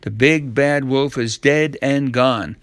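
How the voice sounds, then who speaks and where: A man voicing a brave, reassuring hunter in a fairy tale, speaking slowly and emphatically. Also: mid-range voice, no room sound, close to an everyday voice.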